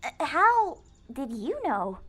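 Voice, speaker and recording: A woman's voice: sits high, very animated, slow pace, exaggerated voice. A young woman playing a flustered, anxious, awkward girl in an audio drama, stammering and hesitant.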